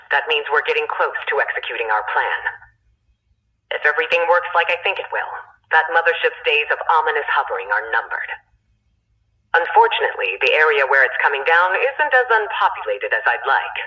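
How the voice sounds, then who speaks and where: A woman playing a confident, commanding resistance leader, giving deliberate mission briefings in a video game. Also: sits low, big pitch swings, pushed and bright, full character voice, low-quality recording. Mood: resolute, serious.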